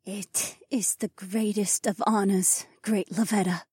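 A woman playing an awed, excitable young woman in a fantasy audiobook. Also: mid-range voice, measured pace, breathy edge, no room sound, studio quality. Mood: reverent.

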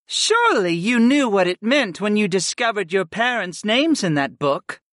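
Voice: mid-range voice, very animated, moderate pace, fairly bright tone, no room sound, clean studio sound, pitched above the performer's own voice. A narrator voicing female goddesses in a fantasy audiobook, murmuring, coaxing and pouting in a sultry way. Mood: defensive.

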